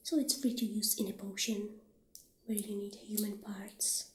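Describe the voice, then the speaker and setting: Casting: woman as a gentle witch shopkeeper for ASMR, soft near-whisper, unhurried delivery, echoey room with faint noise. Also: medium pitch, level tone. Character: friendly witch shopkeeper showing potion ingredients. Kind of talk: soft-spoken ASMR roleplay. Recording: roomy echo, faint background noise.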